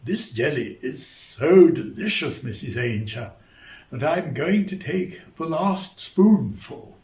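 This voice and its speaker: A man's voice: a little high, animated, takes it slowly, a little mellow. A man playing a fussy, pompous older clergyman in a dramatized novel reading, speaking formally. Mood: complacent. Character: fussy, genteel older clergyman uncle who loves jelly. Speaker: amateur actor in dramatized reading. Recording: echoey room sound.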